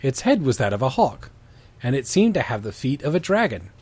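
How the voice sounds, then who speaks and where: A man's voice: mid-range voice, big pitch swings, a little fast, darkish tone, slightly rough. A man doing an informal dramatic reading of fan fiction, with casual, teasing asides. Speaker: reader on a comedy reading show.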